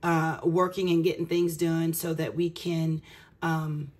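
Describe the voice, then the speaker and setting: A woman budgeting vlogger chatting casually and explaining things to viewers in a folksy, self-deprecating way, her voice slightly cracked and raspy. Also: low voice, level tone, a little slow, a little mellow.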